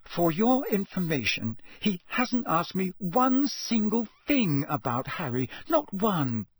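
Guy doing flustered indignant teen girl for an audiobook, high voice, heated, really swoops around. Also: very animated, normal speed, slight background noise. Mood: outraged. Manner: heated, emphatic, exasperated. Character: indignant, flustered teenage girl, sharp-tongued and earnest.

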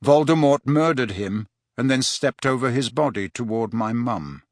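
A man reading a quietly determined teenage wizard boy. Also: medium pitch, normal speed, dry recording, clean studio sound, close to an everyday voice. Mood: quiet, grim. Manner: quiet, steady, pressing.

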